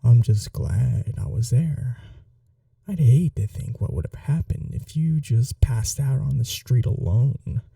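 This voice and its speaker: A man playing a gentle, old-world vampire gentleman in an intimate ASMR roleplay, speaking in a polite, soothing, softly formal way. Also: medium pitch, animated, unhurried, creaky, no room sound, studio quality, recorded from a distance. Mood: caring, attentive.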